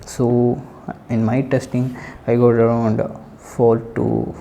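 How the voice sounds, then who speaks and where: A man giving a casual spoken product review in halting short phrases with pauses. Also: medium pitch, level tone, gravelly, warm tone, a little hiss or hum. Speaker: tech reviewer.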